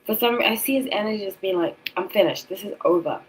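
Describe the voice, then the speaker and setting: Casting: woman, thirties, British accent, medium-pitched voice, informal streamer commentary with a loose, emphatic delivery. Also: quick pace, breathy edge, poor audio. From South Africa and England. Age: 30s. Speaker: tarot reader and streamer host. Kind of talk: casual livestream chat with commentary.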